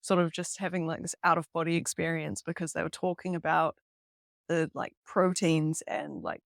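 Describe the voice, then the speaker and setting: A woman's voice: low-pitched, fairly even intonation, normal speed, gravelly, no echo. Young New Zealand woman, podcast guest, chatty, filler-heavy, close dry sound. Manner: chatty, lots of "um" and "like", laughs easily. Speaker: podcast guest, hiking guide. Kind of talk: casual interview chat. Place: hostel room.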